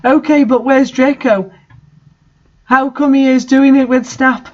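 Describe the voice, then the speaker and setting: A woman's voice: high voice, steady tone, unhurried, a little mellow, dull audio, voice pushed up. A woman voicing a melodramatic, foul-mouthed teenage vampire girl in an exclamatory story reading. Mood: upset.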